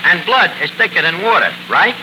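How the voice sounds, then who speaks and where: Noisy 1940s radio recording, cocky New York barkeep, high lively voice, self-important malapropisms. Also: male voice, fairly quick. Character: bumbling, cocky tavern manager who mangles words. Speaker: comedy show lead. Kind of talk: 1940s radio comedy acting.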